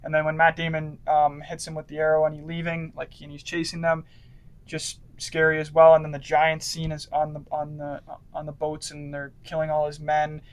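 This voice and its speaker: Male co-host, earnest, fairly high voice, flat delivery, casual chat. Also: normal speed, smooth. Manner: earnest, adds detailed points. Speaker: podcast co-host.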